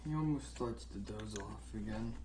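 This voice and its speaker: A person improvising casual role-play on a game stream in a halting way, often trailing off. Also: mid-range voice, noisy background.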